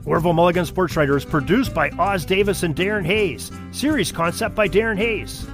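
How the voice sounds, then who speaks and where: A man's voice: sits high, fairly quick. A man reading podcast credits as a scripted announcer in the style of old radio. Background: guitar music.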